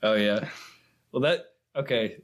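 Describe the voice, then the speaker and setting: A man's voice: medium pitch, unhurried. A man hosting a casual film discussion, steering the recap in an informal way. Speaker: podcast host.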